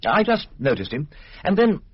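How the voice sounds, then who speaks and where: Guarded gentleman, husky, lively rise and fall, hesitant clipped delivery, gossipy bitterness, radio play. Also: high-pitched, normal speed, dry recording, heavily acted. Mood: anxious recounting. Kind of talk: radio drama acting. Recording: dull lo-fi radio recording.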